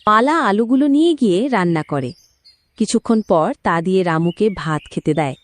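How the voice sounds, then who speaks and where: A woman's voice: mid-range voice, animated, normal speed, slight background noise. A woman narrating a cartoon folk story in a scripted storybook style.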